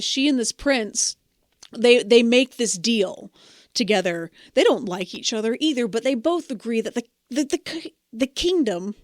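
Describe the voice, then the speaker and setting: Wry, higher-voiced woman podcast co-host. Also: no echo, clean studio sound. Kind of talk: casual banter retelling fairy tales.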